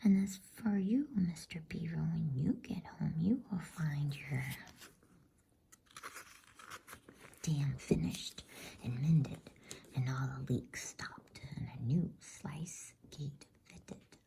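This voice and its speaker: A woman voicing a huge, glad, solemn old man in a measured bedtime storybook whisper. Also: deep voice, vocal fry, mellow, slight background noise, full character voice. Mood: solemn, generous.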